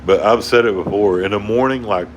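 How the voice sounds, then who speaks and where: A man with an Oklahoma drawl talks to his livestream viewers in a rambling, easygoing way, chuckling now and then. His voice sits a little low. An air conditioner hums steadily behind him. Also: a little fast, a little hiss or hum, rough recording. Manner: rambling, laid-back, chuckles often. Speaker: towboat worker livestreaming. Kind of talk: casual livestream chat with viewers.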